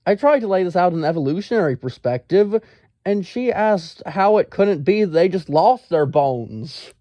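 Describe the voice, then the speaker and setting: Guy with a kinda high but mellow voice, halting nerdy storytelling, picky and exasperated. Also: lively intonation, no room sound, highs cut off. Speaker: storyteller, amateur science enthusiast. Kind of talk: casual rambling storytelling monologue.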